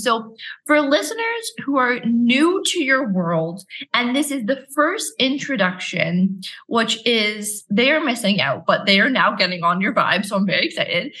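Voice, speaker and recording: A woman's voice: mid-range voice, fairly bright tone. A young woman hosting a podcast, speaking in a gushing, effusive and upbeat way. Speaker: podcast host. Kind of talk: casual podcast chat.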